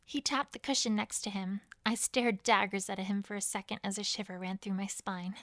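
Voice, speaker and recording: A woman's voice: medium pitch, fairly even intonation, normal speed, fairly bright tone, dry recording, studio quality. A woman reading fan fiction as first-person story narration, in character as a proud pony queen.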